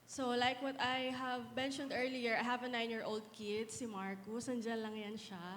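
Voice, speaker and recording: Soft-spoken woman in her thirties. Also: a little high, level tone, moderate pace. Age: 30s. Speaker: guest speaker, solo parent. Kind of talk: personal faith testimony. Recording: long hall reverb, faint noise.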